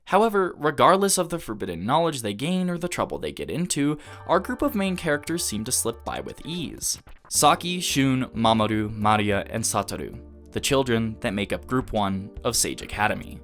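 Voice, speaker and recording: A man's voice: medium pitch, big pitch swings, moderate pace, fairly bright tone. A man reading a scripted video essay as an anime reviewer, analytical and articulate in formal wording. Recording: music behind the voice. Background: background music.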